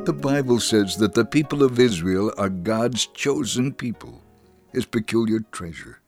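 A man reading a scripted dramatic narration in a measured, solemn manner. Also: fairly low pitch, darkish tone. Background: faint music.

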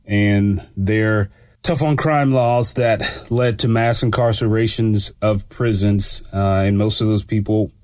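A man hosting his own radio talk show, sounding a bit stuffy from allergies and talking in a relaxed, rambling way with lots of fillers. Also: fairly low pitch, takes it slowly, no room sound, clean studio sound. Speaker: radio show host and law enforcement analyst. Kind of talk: casual solo radio talk.

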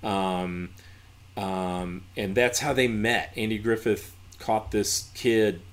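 A man's voice: lowish voice, steady tone, takes it slowly. A man with a Southern accent, a podcast guest chatting casually and telling rambling anecdotes. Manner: storytelling, rambling with restarts. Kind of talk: casual chat.

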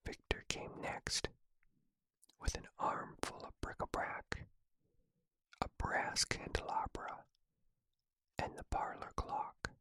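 A narrator reading a children's storybook in a soft, unvoiced whisper, slow and hushed, close to the mic. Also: rough recording. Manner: slow, even, hushed delivery.

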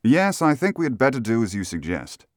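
A man voicing a shrewd, courteous gentleman detective with a brisk, authoritative manner in an audiobook. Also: mid-range voice, very animated, dry recording, clean studio sound, pitched above the performer's own voice, close to an everyday voice. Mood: observant.

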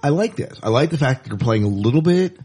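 A man co-hosting a podcast, chatting casually and in an animated way about movie news. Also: medium pitch, fast, slightly rough. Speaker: podcast co-host.

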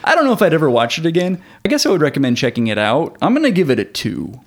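A man's voice: a little high, huge pitch swings, quick pace. A young adult man co-hosting a casual movie podcast, easygoing and agreeable, with lots of filler words. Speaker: podcast co-host. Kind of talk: casual movie review chat.

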